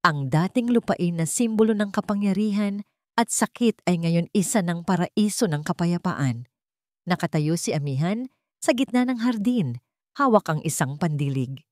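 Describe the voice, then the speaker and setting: A woman's voice: on the low side, expressive, moderate pace, no room sound, studio quality. A woman with a Filipino accent reading a scripted dramatic story as its narrator.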